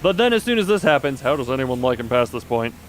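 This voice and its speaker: A man's voice: medium pitch, very animated, quick pace, a little hiss or hum, rough recording. A man commentating live on a game stream, chatting with his viewers as he plays.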